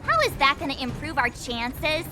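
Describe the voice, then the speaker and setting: A woman's voice: high-pitched, normal speed. A woman voicing a chatty, inquisitive teenage girl adventurer in a video game. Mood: puzzled, chatty. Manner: expressive, chatty, questioning. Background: music.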